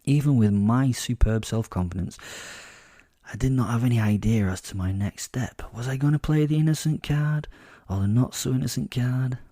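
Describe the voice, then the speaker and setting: Male actor as captive werewolf, sly and sadistic, dark soft-edged voice, confiding gloating narration, close dry sound, horror audio drama. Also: medium pitch, moderate pace, no echo, close to an everyday voice. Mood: calculating, smug. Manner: confiding, gloating, informal storytelling.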